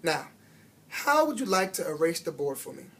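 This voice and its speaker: A man voicing a firm but caring woman schoolteacher in a storybook, emphatic and coaxing, with a husky voice. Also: fairly high pitch, big pitch swings, normal speed, a bit bright, full character voice.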